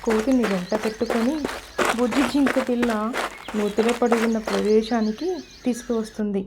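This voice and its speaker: A woman narrating a children's cartoon story, speaking gently and expressively to the kids. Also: on the high side, steady tone, moderate pace, noisy background.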